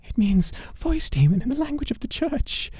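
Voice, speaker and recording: A man's voice: sing-song, normal speed, mellow, no room sound. A man voicing a panicked young man who begs for his life, fawning and desperate. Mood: pleading. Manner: frantic, pleading, high and strained. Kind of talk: storytelling with character voices.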